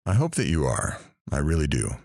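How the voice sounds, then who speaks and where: A man playing a wry morning radio host, delivering comic patter in a deadpan, mock-sincere way. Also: very deep voice, brisk, gravelly, no room sound, clean studio sound, full character voice.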